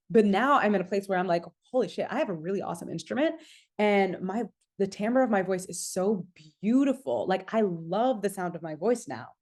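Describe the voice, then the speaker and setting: A woman with a mid-pitched voice talks as a podcast host coaching her listeners. She is casual but emphatic, circling back over key phrases and voicing inner thoughts as if quoting them. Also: a little mellow. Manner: emphatic, repeats key phrases, checks in with "right?"; acts out own thoughts as quoted lines. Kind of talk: casual motivational solo podcast talk.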